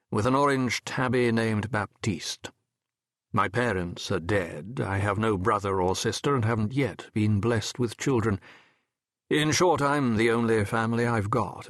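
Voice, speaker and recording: A man narrating an audiobook as a wry, reflective French medical professor telling his memoir in a measured, erudite, wistful way. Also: fairly low pitch, dry recording, studio quality, natural voice. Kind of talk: scripted first-person literary narration.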